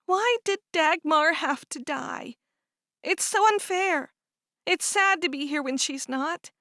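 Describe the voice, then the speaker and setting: A woman's voice: sits high, very animated, moderate pace, sharp tone, no room sound, studio quality, pitched above the performer's own voice, full character voice. A woman reading aloud and voicing an upset, stubborn teenage farm girl who talks in a sulky, indignant way.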